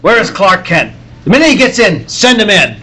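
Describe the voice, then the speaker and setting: A man acting a brusque, hurried newspaper editor with a husky, barking delivery in old-time radio style. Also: high voice, sing-song, bright, forceful tone, heavily acted. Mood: impatient.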